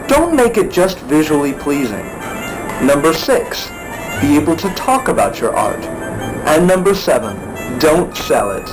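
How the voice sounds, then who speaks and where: A man narrating a scripted parody of a 1950s educational film in a cheerfully didactic, mock-earnest way, with carefully measured enunciation. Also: high voice, low-quality recording. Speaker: voice-over narrator. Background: music under the voice.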